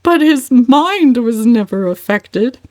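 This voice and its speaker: A woman's voice: a little high, expressive, takes it slowly, warm tone, no echo, clean studio sound, pitched above the performer's own voice. A woman voicing a tearful, put-upon older mother in a whiny, sobbing voice for an audiobook.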